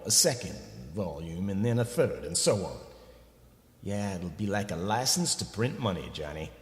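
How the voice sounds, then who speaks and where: A man acts out a slick, greedy record boss from a comic radio play. His pitch leaps all over as he pushes and wheedles like a salesman. The sound is echoey, with long reverb. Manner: fast-talking salesman patter with wheedling asides. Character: slick, pushy, greedy male record company executive.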